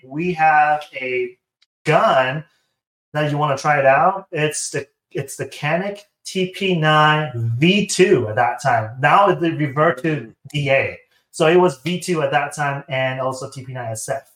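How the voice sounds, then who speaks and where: A man with a Taiwanese accent speaks in a slightly high voice in an echoing room. He tells stories casually as a podcast guest, acting out bits of dialogue. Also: fairly bright tone. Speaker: guest, sponsored competitive shooter. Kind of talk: casual interview storytelling. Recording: roomy, echoing sound.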